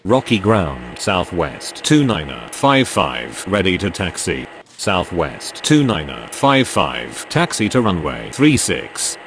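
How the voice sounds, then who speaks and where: A man with a very low, creaky voice gives scripted aviation calls. His pitch moves dramatically, over clear engine-like noise. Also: very animated, slow pace, few pauses, a bit bright. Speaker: radio voice in an air traffic control game. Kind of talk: scripted aviation radio phraseology. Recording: clear vehicle-like background noise.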